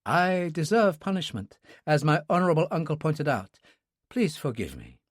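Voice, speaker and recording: A deferential young woman, as a male narrator voices her, speaking softly in a raised, gentle register. Her formal, apologetic phrasing sits close and dry. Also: on the high side, very animated, moderate pace, dry recording. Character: polite, formal young Chinese woman, bowing niece.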